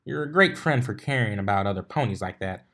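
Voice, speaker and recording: A man's voice: fairly low pitch, animated, brisk, fairly bright tone. A man voicing an easygoing, reassuring female farmer pony with folksy, plain-spoken country speech in a story reading.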